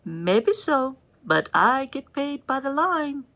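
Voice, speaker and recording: A woman's voice: high voice, sing-song, slow, pushed and bright, heavily acted. A woman playing a pompous grand old stage dame with a haughty, theatrical British accent. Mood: grandiose. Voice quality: haughty, theatrical, self-important. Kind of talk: comedy podcast sketch.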